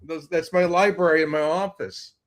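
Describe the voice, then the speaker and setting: An older man from Texas on a video call, his voice a bit high. He jokes and brags playfully, teasing the others with mock-grand boasts. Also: steady tone, moderate pace. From Texas, USA. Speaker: guest. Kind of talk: casual video call chat.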